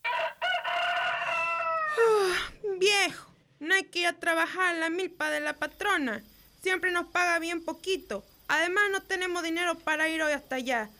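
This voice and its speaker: A woman's voice: extremely high pitch, animated, a little slow, pushed and bright, slight background noise, full character voice. A woman acting a tired, humble Mexican peasant wife in an amateur radio drama, with rustic folksy speech. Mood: weary, resigned.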